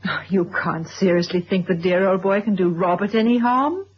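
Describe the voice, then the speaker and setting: A woman acting a dismissive, unworried confidante in a radio drama, with a husky voice. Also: mid-range voice, normal speed, close to an everyday voice. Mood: breezy disbelief. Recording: dull, muffled old radio recording.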